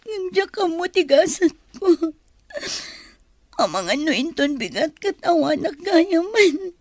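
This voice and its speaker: A woman plays a heartbroken young mother in an audio drama. Her voice is very high and pleading, recorded close and dry. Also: big pitch swings, takes it slowly, darkish tone, no echo, heavily acted. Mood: sorrowful, pleading. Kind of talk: Ilocano audio drama acting.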